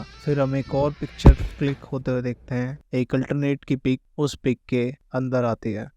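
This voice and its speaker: Smooth Indian man narrating evenly. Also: medium pitch, darkish tone, dry recording. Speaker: YouTube explainer host. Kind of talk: scripted recap narration mixing Hindi and English.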